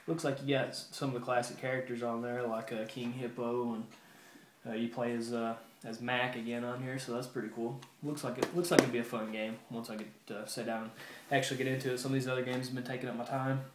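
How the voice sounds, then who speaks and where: A man giving a casual, halting and rambling show-and-tell update to his viewers, like a hobby video game vlogger. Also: mid-range voice, level tone. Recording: roomy echo with background hiss.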